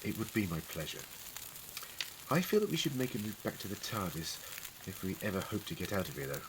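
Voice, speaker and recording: A man's voice: deep voice, very animated, fairly quick, background noise. A man acting in a fan audio drama as an eccentric, clever Time Lord adventurer, musing, wordy and wryly witty. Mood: gentle, reassuring.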